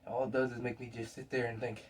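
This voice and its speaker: A man's voice: medium pitch, fast. Sick, scratchy-voiced young man. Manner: relaxed, rambling explanations with fillers and restarts. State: sick with a scratchy voice and sore throat. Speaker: fitness vlogger. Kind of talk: casual workout vlog talk. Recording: distant mic with faint background noise.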